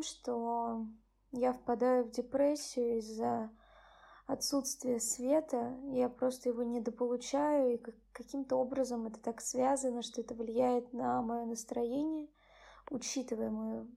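A tired young woman hosting her own channel, speaking softly and subdued as she reads a bedtime story and chats about her day. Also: steady tone, measured pace, creaky. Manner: soft, subdued, low-key. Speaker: channel host. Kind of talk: bedtime story reading and personal chat.

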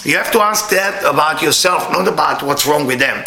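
A middle-aged man with an Israeli accent giving a spiritual lecture to a live audience. He speaks with non-native grammar and jumps up high when he acts out what other people said. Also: lively intonation, moderate pace, reverberant, background noise.

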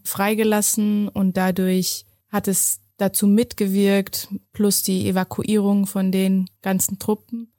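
A woman's voice: medium pitch, a little mellow, no echo, clean studio sound. A woman who grew up in Germany, speaking as an activist guest and explaining in an interview. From Germany, born abroad to an Afghan family.

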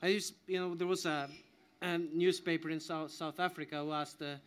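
A man's voice: fairly high pitch, little pitch movement, normal speed, clean tone. A man speaking off the cuff as an academic guest in a discussion, often restarting his phrases. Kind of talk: spontaneous panel explanation.

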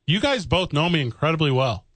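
A man from the St. Louis area with a fairly high voice riffs and cracks jokes on a casual sports podcast. His voice sits close and dry, with no room sound. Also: very animated, a little fast, a bit bright, dry recording. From St. Louis area, USA. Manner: joking, riffing storyteller. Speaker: podcast co-host.

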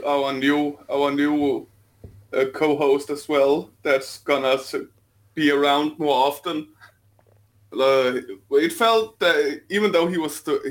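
Danish-accented male co-host, slightly higher voice, stumbling and playful. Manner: stumbling, repeats words, playful. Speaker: podcast co-host. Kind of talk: casual podcast chat.

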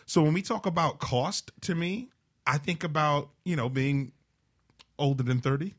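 Man, 40s, slightly high voice, reflective motivational guest. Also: moderate pace, fairly bright tone. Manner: reflective, leans on "right?" and "you know". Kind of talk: motivational talk.

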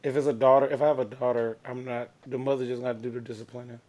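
A man with a raspy voice trades jokes in loose, slangy podcast talk. His pitch sits in the middle, neither deep nor high. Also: little pitch movement, fast, a little mellow. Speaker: podcast co-host. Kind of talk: casual chat.

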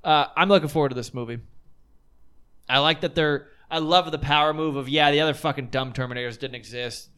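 A man in his late twenties hosting a casual podcast chat, rambling and excitable, swearing now and then. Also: on the high side, a little fast, clear, resonant tone. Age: late 20s. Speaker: podcast host.

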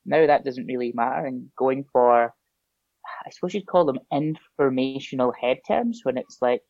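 A man's voice: medium pitch, normal speed, a little mellow, dry recording. A man with a Scottish accent, a guest chatting casually on a podcast with a lot of filler ums. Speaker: SEO guest. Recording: dull lo-fi podcast audio.

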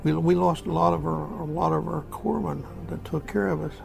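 An elderly American war veteran recounting his memories in a folksy, halting, repetitive way. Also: fairly high pitch, steady tone, vocal fry, soft background music. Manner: folksy, halting, repeats himself. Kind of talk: spoken first-person war reminiscence.

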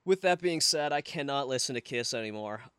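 A young man co-hosting a casual comedy podcast, animated and joking, swearing freely, with a high, slightly nasal little-brother voice. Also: a little fast, smooth, no room sound, clean studio sound. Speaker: podcast co-host.

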